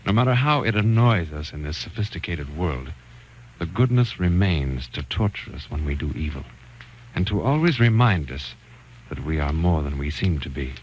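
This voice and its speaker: A man hosting and narrating a 1940s radio thriller in a solemn, measured, scripted style. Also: low-pitched. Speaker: host and narrator. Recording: dull, noisy 1940s radio recording.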